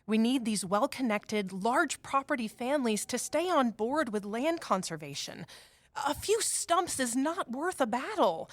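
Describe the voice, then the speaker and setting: A woman's voice: fairly high pitch, fairly bright tone, no echo. An actress playing a stern, guarded woman office boss in a scripted audio drama, curt, reproving and authoritative.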